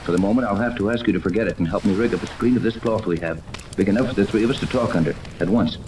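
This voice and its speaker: A man's voice: on the low side, rapid-fire, close to an everyday voice. Terse adventure hero played by a man, noisy recording. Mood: grim, determined. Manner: terse, urgent, purposeful. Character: resolute captive male radio-operating captain plotting escape. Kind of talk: 1930s radio serial acting. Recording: noisy vintage 1930s radio recording.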